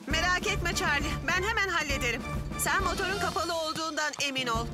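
Cartoon rescue heroine: young, brisk, capable, very high register over loud music. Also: female voice. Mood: reassuring. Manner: brisk, practical. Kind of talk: animated cartoon dub. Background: loud background music.

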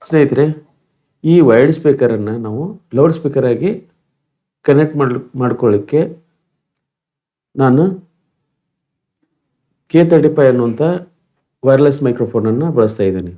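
A man's voice: medium pitch, normal speed, long pauses, dark tone. A man presenting an informal step-by-step tutorial demonstration.

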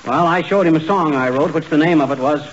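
A man playing an excitable, blustering braggart husband in a 1940s radio sitcom, talking in fast boastful patter full of tongue-twisting slips. The sound is a dull, noisy old radio broadcast. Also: on the high side, lightly acted. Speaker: lead comic character.

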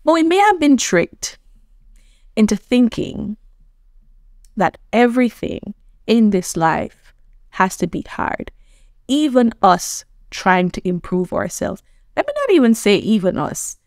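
A woman's voice: mid-range voice, sing-song, takes it slowly, pauses often, a little mellow, no room sound, studio quality. A woman from Jamaica, hosting a motivational self-improvement talk, earnest and conversational, often checking in with the viewer. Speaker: self-improvement coach and host.

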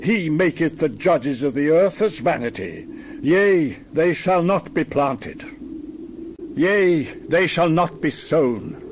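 A man's voice: a little high, animated, takes it slowly, a little hiss or hum. A man reading the Bible aloud in a dramatized style, with solemn, theatrical, emphatic declamation. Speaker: Bible reader.

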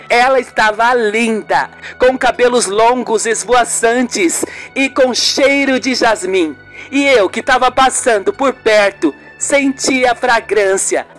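A woman's voice: on the high side, pitched above the performer's own voice, natural voice. A woman voicing an emotional young niece with dramatic pleading in a radio soap. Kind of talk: amateur radio soap acting.

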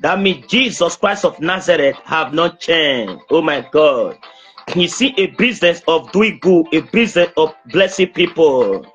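A young man speaking as a prophet praying over someone on a video call, insistent, halting and repeating himself. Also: on the high side, lively intonation, a bit bright, soft background music. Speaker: preacher, self-styled prophet. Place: small indoor room. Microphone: phone at arm's length on video call.

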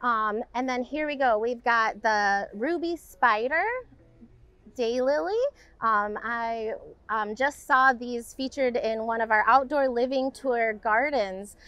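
A woman's voice: fairly high pitch, slow, pushed and bright, smooth, dry recording, lo-fi sound. A woman in her thirties, a garden center staff member, chatting casually and upbeat with lots of "um" fillers as she walks through the plants outdoors.

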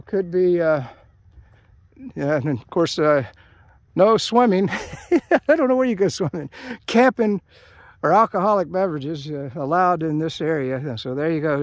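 An older American man chatting casually outdoors as a hiking vlogger, in a rambling, halting way. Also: high voice, dry recording. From United States. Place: outdoors at a trailhead by open fields. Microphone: handheld camera held by speaker.

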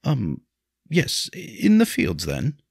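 Man playing a haughty, formal nobleman, animated pitch. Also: slow, gravelly, husky, dry recording, clean studio sound, heavily acted. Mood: uneasy, surprised. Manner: formal, forceful. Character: haughty young nobleman, proper, indignant and uneasy.

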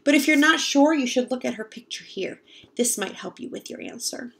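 High-voiced young woman reading to children. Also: brisk, creaky. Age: young adult. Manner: expressive, explanatory, addressing young children. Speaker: read-aloud storyteller, teacher. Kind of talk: children's picture-book read-aloud with questions to listeners. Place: home kitchen, indoors.

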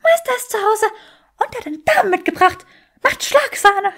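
A woman voicing a small, eager male house-elf servant, shrill and deferential, in an audiobook story. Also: animated, moderate pace, a bit bright, heavily acted. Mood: overexcited. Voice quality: shrill, squeaky.

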